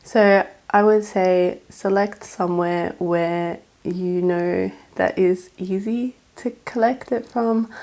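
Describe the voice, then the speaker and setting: A young Australian woman with a raspy voice from a cold, chatting through practical tips in a home vlog. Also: lowish voice, a little mellow. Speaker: vlogger. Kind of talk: casual advice vlog.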